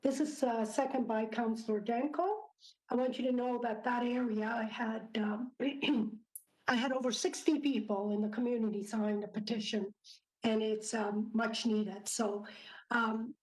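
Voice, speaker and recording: A woman councillor, losing her voice from a cough, reads a motion haltingly with many fillers and clears her throat. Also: a little high, fairly even intonation, a little mellow, low-quality recording. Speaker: city councillor. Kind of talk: reading a motion at a council meeting.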